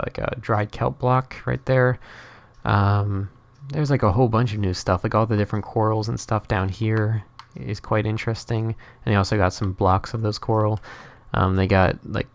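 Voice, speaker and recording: A young man whose first language is Norwegian talks through a video game in a rambling, hesitant way, stopping to restart his sentences. His voice sits a little low and has a gravelly, creaky edge. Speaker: gaming channel host. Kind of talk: casual gameplay commentary.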